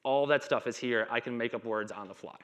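A man's voice: mid-range voice, brisk, a bit bright, dull audio. A man in his thirties giving a conference talk on stage in a casual, chatty way, with stutters, repeats and blocks. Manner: casual chit-chat delivery. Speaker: conference speaker. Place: dark stage in a conference hall.

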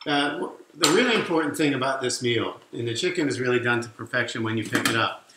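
A man's voice: mid-range voice, quick pace. A middle-aged man co-hosting a casual cooking video at home, explaining each step with jokey asides while he works with his hands. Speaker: cooking show co-host. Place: home kitchen, indoors. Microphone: camera mic across the kitchen. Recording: echoey kitchen with faint noise.

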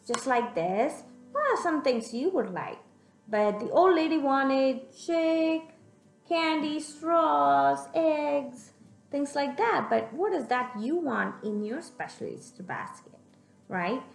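High-voiced young woman reading to children. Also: slow pace, creaky. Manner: expressive, teacherly, addressing children directly. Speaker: children's storyteller. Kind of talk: read-aloud for young children, with questions to the listeners. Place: room at home, indoors. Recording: echoey room, music in the background.

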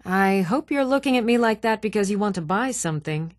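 An actress playing an even-toned townswoman and shopkeeper in a fantasy video game, speaking in measured, clearly enunciated, theatrical phrases. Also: medium pitch, dry recording, studio quality, lightly acted. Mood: indignant, wry. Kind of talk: scripted video game voice acting.

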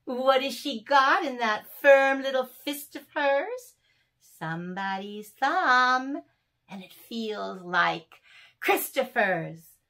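A woman in her sixties reading children's poems aloud as a storyteller, with deliberate, animated phrasing and dramatic pauses. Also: high-pitched. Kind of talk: expressive children's poetry reading. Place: small furnished room indoors.

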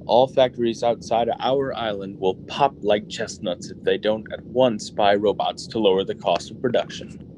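An assertive, business-minded man voiced by an actor in a radio drama. His voice sits fairly low and sounds hearty. Slight noise lies under the recording. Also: steady tone, normal speed, darkish tone, natural voice, low-quality recording. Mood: hearty, boastful. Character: folksy, business-minded male factory consul.

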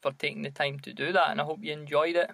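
A Scottish man talks casually as a podcast co-host. His voice sits a little high for a man, with a strong creak in it. He is reflective and hesitant, recorded close and dry. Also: flat delivery, quick pace, a bit bright, no echo.

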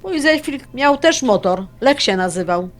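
A woman's voice: high-pitched, expressive, measured pace. A woman playing a stubborn, possessive elderly grandmother in an audio drama, blunt, folksy and grumbling. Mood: matter-of-fact.

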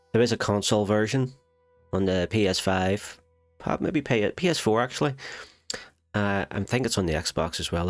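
A man with a nasal voice from a recent illness, chatting casually over a game and correcting himself as he goes. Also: lowish voice. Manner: chatty, self-correcting, thinks aloud. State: nasal from a cold. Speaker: gaming channel host. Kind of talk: casual let's play commentary.

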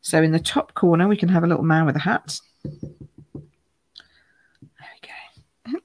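A woman with a croaky voice, chatting through a live card-making demonstration at her craft table, greeting viewers by name as she stamps and colours. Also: low-pitched, normal speed, noisy background. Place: home craft room.

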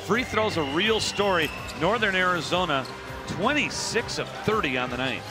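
A middle-aged man hosting a post-game sports wrap with a brisk, polished broadcast delivery, speaking into a headset mic at an arena desk. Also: high voice, animated, over music. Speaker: play-by-play host.

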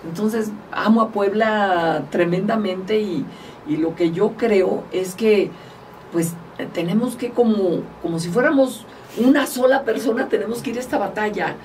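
Animated Mexican woman guest, distant mic. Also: mid-range voice, measured pace, a little hiss or hum. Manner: animated storyteller, laughs often. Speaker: guest politician. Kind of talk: casual interview chat. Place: small studio, indoors. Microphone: table mic, far from speaker.

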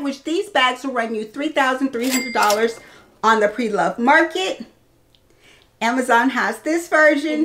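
A woman's voice: sits high, fairly bright tone, slight background noise. A woman in her thirties presenting products in a casual, chatty way, addressing her viewers directly like a fashion vlogger. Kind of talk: casual product showcase to camera. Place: small indoor room lined with clothes and bag shelves.

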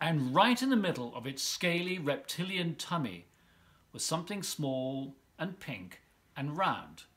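A middle-aged man giving an animated reading of a children's storybook in a living room at home. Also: a little high, measured pace. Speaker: volunteer story reader.

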